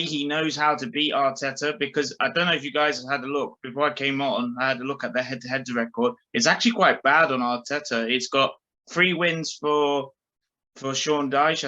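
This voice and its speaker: A man's voice: medium pitch, bright, forceful tone, lo-fi sound. A man giving his opinions as a panellist in a casual football chat. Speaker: podcast panellist.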